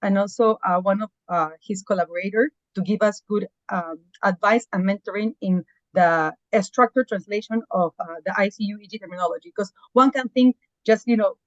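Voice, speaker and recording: A woman talks earnestly as a podcast guest, often pausing on fillers. Her voice sits at a middle pitch. The sound is dull and dry, like a lo-fi call. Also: dry recording. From Peru. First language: Spanish. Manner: earnest, with fillers and non-native phrasing. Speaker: physician guest. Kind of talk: podcast interview.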